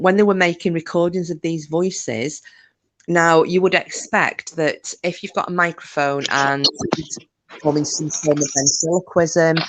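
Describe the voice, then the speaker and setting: Low-voiced chatty woman. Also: rough recording. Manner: chatty, many fillers and restarts. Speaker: podcast co-host. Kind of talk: casual chat about a paranormal case.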